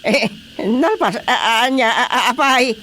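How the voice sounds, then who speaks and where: A man playing an excitable, exclamatory village chairman with an Ilocano accent in a radio comedy drama. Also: very high-pitched, measured pace, a little hiss or hum, full character voice. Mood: panicked.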